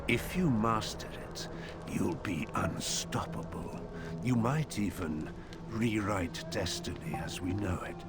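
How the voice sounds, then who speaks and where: An actor playing a sly, taunting male tempter in a game cutscene, with a mocking, insinuating manner. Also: fairly low pitch. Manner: mocking, insinuating, drawn-out phrasing. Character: sly, taunting man tempting a wanderer with power. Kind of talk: scripted game cutscene dialogue.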